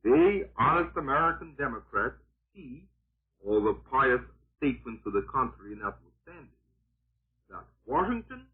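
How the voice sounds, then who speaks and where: A man's voice: medium pitch, slightly rough. A man reading scripted 1940s radio political commentary in an emphatic, oratorical and sardonic manner. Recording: muffled, narrow-band vintage 1940s radio broadcast.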